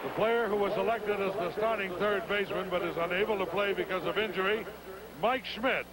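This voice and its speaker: High-voiced stadium announcer over roaring crowd. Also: male voice. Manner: slow, deliberate, drawn-out pauses between names. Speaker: stadium public address announcer. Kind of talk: player introductions over stadium PA. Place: outdoor ballpark stadium. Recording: stadium PA with loud crowd noise, 1980 broadcast. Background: loud crowd.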